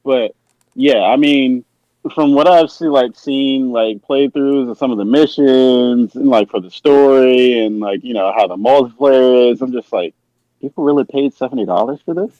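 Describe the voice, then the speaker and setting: A man with an average-pitched voice talks casually on a podcast. The sound is very dry, without room echo. His phrasing is loose and slangy. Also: moderate pace, warm tone, muffled recording. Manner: slangy, filler-heavy, says "man" often.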